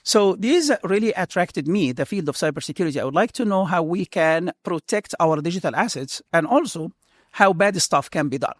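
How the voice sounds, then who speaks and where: A middle-aged man from Egypt, a computer science professor answering interview questions in an earnest, halting way with frequent restarts. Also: high-pitched, expressive, no echo, studio quality.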